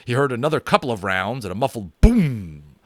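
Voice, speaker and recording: An American man narrating a children's novel aloud in a dramatic, animated way, with chatty, self-amused asides. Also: mid-range voice, brisk, dry recording. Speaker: audiobook reader and host. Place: home room.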